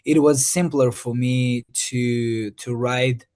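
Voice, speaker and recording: A man's voice: medium pitch, fairly even intonation, takes it slowly, a little mellow. A young man from Moldova, answering interview questions in English with many fillers and restarts. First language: Romanian. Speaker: Eurovision artist.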